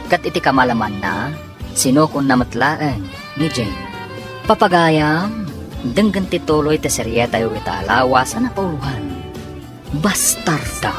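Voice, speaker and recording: A woman with a very low voice narrates a radio drama serial. Her delivery is scripted and dramatic, with lively rises and falls, and music plays clearly behind her. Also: measured pace, long pauses. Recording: music bed under the voice. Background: dramatic theme music.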